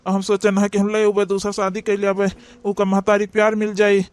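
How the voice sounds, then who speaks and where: A man's voice: high voice, completely flat delivery, moderate pace, clear, resonant tone, no room sound, close to an everyday voice. A man with an Awadhi accent playing a worried, bewildered cartoon father, speaking in a plaintive, fretful way. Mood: distressed. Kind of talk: amateur comedy cartoon dialogue.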